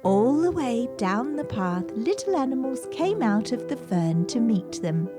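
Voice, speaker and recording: Female storybook narrator: unhurried, expressive, very varied intonation, gentle music underneath. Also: a little high. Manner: measured, expressive, dramatic emphasis. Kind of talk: scripted children's story narration. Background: gentle background music.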